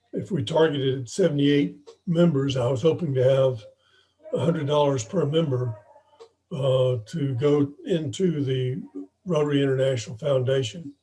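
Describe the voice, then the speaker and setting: A hoarse man, speaking haltingly and often clearing his throat, gives an informal report as club president on a video call. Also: fairly high pitch, warm tone, rough recording. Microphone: video call microphone.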